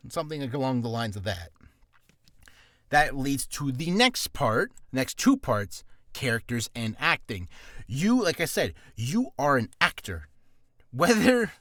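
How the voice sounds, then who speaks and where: A man's voice: medium pitch, fairly bright tone, dry recording, studio quality. A man giving beginner voice-acting advice in a casual vlog, nervous and rambling, with self-deprecating asides, from a small, hot, cramped room.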